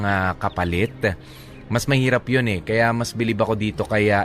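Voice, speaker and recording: A man's voice: on the low side, a bit bright. A man with a Filipino accent hosting radio, giving casual advice in short, hesitant, reflective phrases. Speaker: radio host.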